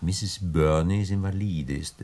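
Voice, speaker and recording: A man's voice: low voice, a little slow, a little mellow. An actor playing a courteous, avuncular crime boss in a German radio play, condescending and politely sinister, often repeating his words. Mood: genial, scheming.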